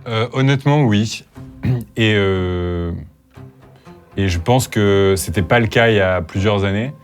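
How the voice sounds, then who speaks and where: A man with a low voice answers questions candidly, hesitating as he goes. He sounds a little nervous, and soft music plays underneath. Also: measured pace. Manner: hesitant, candid, many fillers and restarts. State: a bit nervous. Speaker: youtuber host. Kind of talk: casual talk, answering interview questions.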